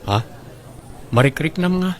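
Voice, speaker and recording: A man's voice: on the high side, expressive, a little slow. A man playing a reserved, plain-spoken young man with an Ilocano Filipino accent in a radio drama. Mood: surprised, dismissive.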